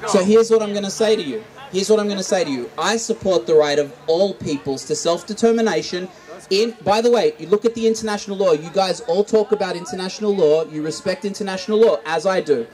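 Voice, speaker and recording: A man's voice: high-pitched, quick pace, a little mellow. A man with an Australian accent in a heated but conciliatory street debate, insistent and often restarting his sentences. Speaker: debater at a protest. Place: outdoors among a crowd. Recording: noisy crowd outdoors.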